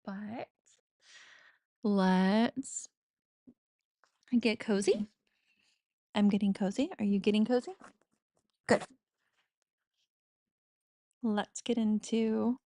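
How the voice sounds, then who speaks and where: A woman's voice: medium pitch, lively intonation, takes it slowly, long pauses, dark tone, no room sound, studio quality. A woman chatting casually while playing a cozy farming game, giggly and self-mocking, with a slightly stuffy nose. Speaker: gaming streamer. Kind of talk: casual let's-play commentary.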